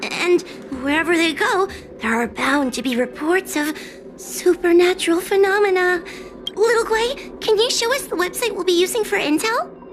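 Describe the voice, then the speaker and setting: Shy anxious anime game girl, tiny super high voice, stammers a bit, clear music behind. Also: moderate pace. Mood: earnest explaining. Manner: timid, hesitant, stammering. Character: timid, anxious young girl squad leader, eager to please. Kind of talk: anime game dialogue acting.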